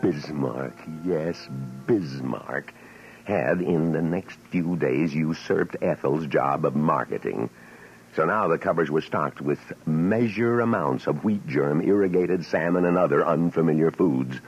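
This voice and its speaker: A man's voice: extremely low pitch, a little slow, soft background music. An actor playing a wry, exasperated middle-aged father in an old-time radio drama. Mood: wry, weary. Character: exasperated, hungry middle-aged father narrating his diet woes. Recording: noisy muffled vintage radio recording.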